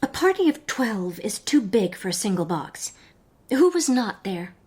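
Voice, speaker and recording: A young, sharp-witted lady detective of high society in a period radio drama. Her voice sits at a medium pitch. She is composed and formal, with a probing, self-assured manner. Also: sing-song, a little slow, husky. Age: young adult.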